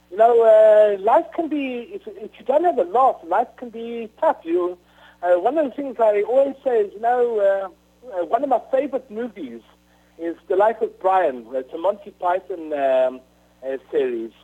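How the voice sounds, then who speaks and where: South African man, halting on a phone line. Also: high voice. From Rhodesia, now Zimbabwe. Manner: halting, many uhs and restarts. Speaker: author guest. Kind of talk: casual interview answers.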